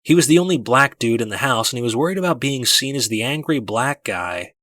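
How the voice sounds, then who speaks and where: A man narrating a first-person story from a script in a measured, dramatic storytelling manner. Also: medium pitch, lively intonation, a bit bright, no echo. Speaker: audiobook narrator.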